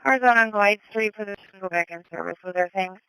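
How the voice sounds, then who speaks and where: Bright, clear woman dispatcher on radio. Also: mid-range voice, quick pace, no room sound, poor audio. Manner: clipped, matter-of-fact procedural delivery. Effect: two-way radio. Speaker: police and EMS radio dispatcher. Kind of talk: radio dispatch, giving incident details and acknowledgements. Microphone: dispatch radio microphone. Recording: close dry police scanner radio audio.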